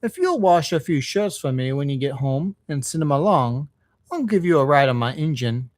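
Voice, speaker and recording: A man's voice: a little high, lively intonation, normal speed, no room sound, clean studio sound, lightly acted. A man voicing a burly, kindly working-class engine driver from a storybook, folksy, reflective and good-natured. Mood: good-natured bargaining.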